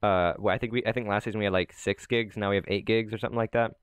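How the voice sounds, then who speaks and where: A man's voice: lowish voice, fairly even intonation, very fast, slight background noise. A young man hosting a gaming channel, chatting casually and rambling while he plays with friends, often laughing. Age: young adult. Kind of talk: casual gameplay commentary.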